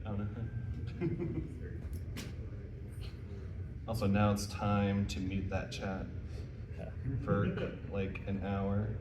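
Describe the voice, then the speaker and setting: A man's voice: on the low side, steady tone, drawn-out words, pauses often, muffled recording. An American man giving an informal presentation to a live audience, with a casual, self-deprecating manner and jokey asides. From United States. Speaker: convention panel presenter. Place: indoor convention panel room. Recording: roomy, distant mic, faint noise.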